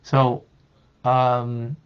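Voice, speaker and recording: A man's voice: medium pitch, completely flat delivery, very slow, smooth, lo-fi sound. An older man, a rabbi, teaching an interactive online class, speaking reflectively with many restarts and fillers. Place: office with desk. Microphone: webcam on video call.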